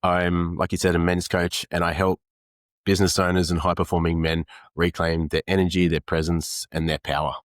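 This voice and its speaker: A man's voice: low voice, level tone, normal speed, no echo, studio quality. An Australian man, a men's coach, explaining ideas earnestly in an interview, with many fillers. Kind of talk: conversational interview.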